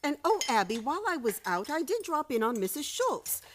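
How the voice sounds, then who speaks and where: A kindly old aunt acted by a woman in a radio comedy, with a fairly high voice and a very lilting delivery. She is chatty and soothing, fusses over small details, and stays innocently placid. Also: very animated, a little fast, slight background noise. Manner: chatty, soothing, mildly fussy. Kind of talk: stage comedy radio play acting.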